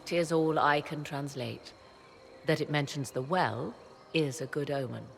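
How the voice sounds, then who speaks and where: A woman's voice: low-pitched, measured pace, gravelly, slight background noise. A woman voicing an aloof female mage who talks in formal, old-fashioned phrasing with a haughty edge. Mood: cool. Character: aloof, sharp-tongued female witch and mage. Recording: game cutscene with faint score.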